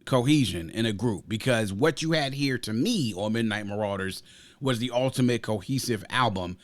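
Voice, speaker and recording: A man's voice: medium pitch, no echo. A man hosting a music podcast, giving an emphatic, conversational review and often checking in with the listener.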